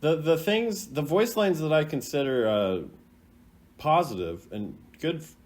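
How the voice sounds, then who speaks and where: Male video host, casual commentary, animated intonation, laid-back, rambling, hesitant. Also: fairly high pitch, a little slow. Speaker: host ranking game voice lines.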